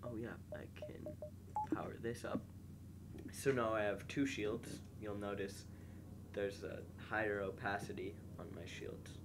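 A young man with a slightly nasal, congested voice, calmly commentating a space game and reading its text aloud while muttering to himself. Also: lowish voice, unhurried, noisy background. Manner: relaxed, mumbles quietly to himself while playing. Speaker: gameplay host.

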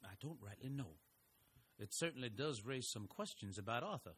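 Man acting animated, rough-voiced folksy older husband. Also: medium pitch, moderate pace. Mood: grave, concerned. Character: folksy, protective older husband and amateur investigator, stubborn. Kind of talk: audio drama acting.

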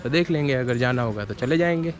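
A man's voice: medium pitch, animated, fast talker. A man hosting a casual road trip vlog, chatty and laughing often as he talks to viewers. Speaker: vlogger host. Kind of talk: casual travel vlog chat. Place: outdoors by car on a road trip. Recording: loud outdoor noise.

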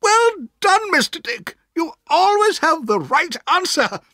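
A shrill, strict old aunt. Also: male voice, sing-song, moderate pace, dry recording, studio quality, full character voice. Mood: delighted. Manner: sharp, cross, commanding. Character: strict, brisk grey-haired elderly aunt.

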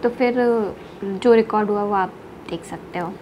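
A woman's voice: mid-range voice, moderate pace, lo-fi sound. A young woman in her twenties chatting casually to a phone camera, vlogging about her travels and mixing English words into her Hindi. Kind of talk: casual vlog chat. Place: small bedroom at home, indoors. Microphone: phone camera at arm's length. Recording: noisy train and station ambience.

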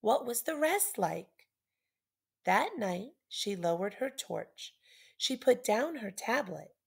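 A middle-aged woman reads a children's story aloud like a teacher, with deliberate, dramatic emphasis. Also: fairly high pitch, sing-song, creaky, slightly rough.

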